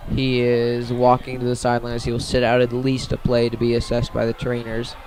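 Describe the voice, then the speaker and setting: Even-toned man calling football. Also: medium pitch, a little fast, a little hiss or hum, poor audio. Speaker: play-by-play sports commentator. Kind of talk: live high school football radio play-by-play.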